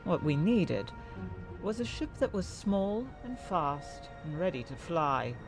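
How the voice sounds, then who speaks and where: A man's voice: fairly high pitch, big pitch swings, slow, faint music behind, exaggerated voice. A man playing a composed, capable Jedi who recounts a past mission in dramatic scripted comic dub narration.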